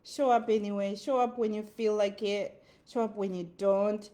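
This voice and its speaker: A woman's voice: mid-range voice, steady tone, moderate pace, mellow. A woman with a Zimbabwean accent giving an earnest motivational talk, repeating her phrases for emphasis. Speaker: motivational speaker. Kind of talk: motivational monologue.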